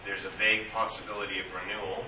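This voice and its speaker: A man's voice: on the low side, little pitch movement, fast. A man in the audience asking a detailed question at a meeting, in a wry manner. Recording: distant in an echoey room, noisy lo-fi.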